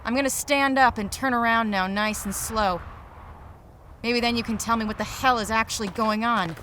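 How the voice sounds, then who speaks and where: A woman's voice: a little high, moderate pace, close to an everyday voice. Actress doing a jaded lady private eye, noir radio drama, terse n dry, bit of background noise. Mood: dismayed, bitter. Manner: terse, wry, deadpan noir narration and dialogue. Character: hard-boiled, hard-drinking, world-weary female private detective. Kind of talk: noir audio drama acting.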